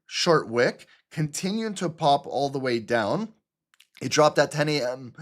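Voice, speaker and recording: A man's voice: fairly high pitch, lively intonation, unhurried. Man explaining trades live. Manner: explanatory, repeats "okay?" and "right?", frequent fillers. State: throat clearing, choking on water. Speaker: trading channel host. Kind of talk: live market analysis walkthrough.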